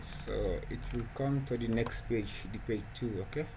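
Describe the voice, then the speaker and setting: A man talks through a coding tutorial while recording his screen, stumbling and repeating words as he goes. His voice sits at an ordinary middle pitch. A steady layer of noise runs under the recording. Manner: halting, repeats words, non-standard English phrasing. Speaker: programming tutorial host. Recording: noisy home recording.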